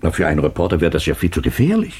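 An actor playing a stern, controlling man who speaks firmly and gravely in a radio crime drama. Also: deep voice, wildly swooping pitch, very fast, a little mellow, heavily acted. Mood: measured, doubtful. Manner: firm, grave, emphatic.

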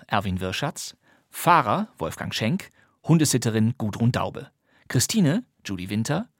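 A man with a husky voice hosting a podcast, reading a scripted presentation of radio plays. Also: medium pitch, no echo, studio quality. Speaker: podcast host.

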